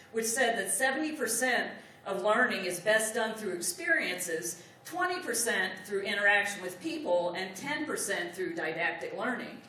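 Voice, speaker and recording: A woman's voice: medium pitch, bright, forceful tone, a little hiss or hum. A woman speaking on negotiation at a conference, leading the audience with call-and-response prompts. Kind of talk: conference keynote with audience exercise. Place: large conference hall. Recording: echoey hall, distant mic.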